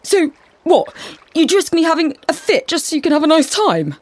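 A woman's voice: on the high side, big pitch swings, moderate pace, darkish tone, dry recording. A woman doing a whiny tear-drinking vampire boyfriend. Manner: whiny, self-pitying, manipulative. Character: moody young man who drinks tears.

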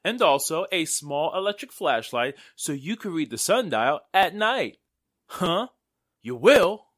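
A man's voice: a little high, sing-song, a little slow, fairly bright tone, no room sound, clean studio sound, full character voice. A man acting a playful, wisecracking fellow in a 1940s-style radio drama, with jokey, theatrical patter. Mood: jokey salesman patter.

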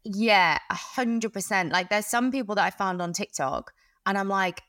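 A British woman co-hosting a podcast, chatting in a lively, casual way. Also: mid-range voice, normal speed, no room sound, studio quality. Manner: chatty, animated. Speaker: podcast co-host. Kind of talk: casual chat.

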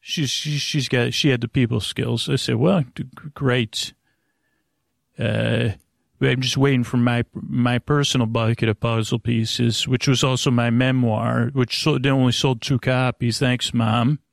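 Creaky-voiced man rambling a bedtime monologue. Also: mid-range voice, steady tone, unhurried, no echo, clean studio sound. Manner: meandering, hesitant, stammering, often breaking off mid-thought. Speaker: host of a bedtime sleep podcast.

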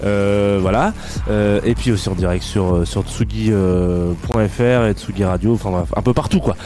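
Hesitant radio host man, dark voice. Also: on the low side, moderate pace, faint music behind. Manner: hesitant, many fillers and restarts; jokey, self-mocking. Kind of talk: live radio show chat.

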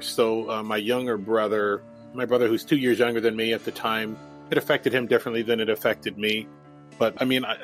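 A man in his forties, a native Japanese speaker, a guest in an interview who tells his life story candidly and laughs easily. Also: mid-range voice.

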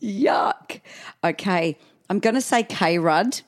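A woman's voice: mid-range voice, expressive, takes it slowly. A woman co-hosting a podcast, chatting in a playful, self-mocking way. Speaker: podcast co-host. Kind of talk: casual chat.

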